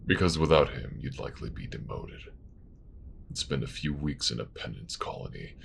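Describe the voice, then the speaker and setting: A man playing a stern, world-weary religious official in a fantasy audio drama, gravelly, measured and formal. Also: a bass voice, background noise, exaggerated voice.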